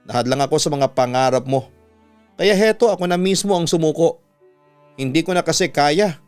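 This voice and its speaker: A man's voice: fairly high pitch, moderate pace, clean tone, faint music behind. A man who hosts as a radio announcer, reading a listener's letter aloud in an earnest, dramatic way, then giving advice.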